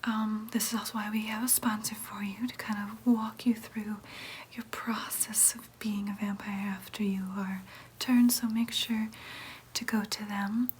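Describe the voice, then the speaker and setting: A woman playing a gentle, reassuring vampire nurse in an ASMR roleplay, speaking in a soft, breathy whisper with careful, soothing explanations. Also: mid-range voice, flat delivery, measured pace, slight background noise, natural voice. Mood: explanatory, calm.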